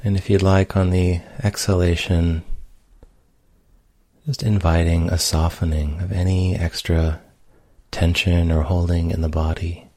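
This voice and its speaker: A man leading a guided meditation in a gentle, unhurried manner with long pauses. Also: deep voice, flat delivery, no echo, clean studio sound. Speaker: meditation teacher.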